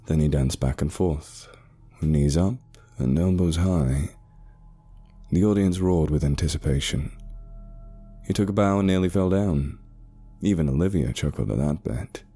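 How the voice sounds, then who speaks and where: Very deep, dark-voiced man narrating horror. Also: slightly rough. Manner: measured, suspenseful, short pauses. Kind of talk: scripted horror narration, first person. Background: eerie background music.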